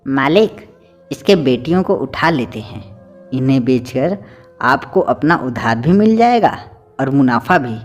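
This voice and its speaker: A man's voice: mid-range voice, lively intonation, measured pace, soft background music. A man voicing a scheming, callous henchman in a children's cartoon, sly and servile toward his master. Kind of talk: Hindi children's cartoon dubbing.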